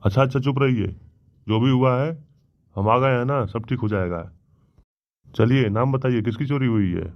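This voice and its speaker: A man playing a self-assured, officious village police inspector in a comedy cartoon, with a brisk, questioning manner. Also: medium pitch, dry recording, natural voice. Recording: dull, dry lo-fi audio.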